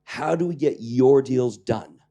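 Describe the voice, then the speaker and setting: A man with a croaky voice giving a lecture to a training class, joking and questioning the audience while clearing his throat. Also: medium pitch, unhurried, dark tone. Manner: jokey, engaging the audience with questions. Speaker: lecturer on public-private partnerships. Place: lecture room with live audience.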